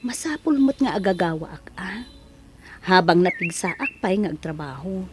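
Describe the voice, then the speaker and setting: A woman's voice: fairly low pitch, expressive, moderate pace, noisy background, close to an everyday voice. A woman playing a hardworking, emotional Filipino mother in an audio drama, with an Ilocano accent. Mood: weary, resolute.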